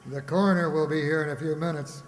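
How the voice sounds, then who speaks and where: A man's voice: on the high side, steady tone, fast, close to an everyday voice. A man playing a sardonic, exasperated police lieutenant in an old-time radio drama style, in a very reverberant recording. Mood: businesslike. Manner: sardonic, grumbling, officious. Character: exasperated, sarcastic male police homicide lieutenant. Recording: very reverberant room or hall.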